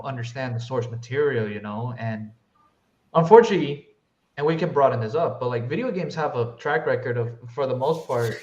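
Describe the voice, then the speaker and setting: A man's voice: mid-range voice, darkish tone. Guy doing a slangy podcast review chat, kinda dull echoey room sound. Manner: informal, peppered with slang. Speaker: podcast co-host. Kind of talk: casual film review chat.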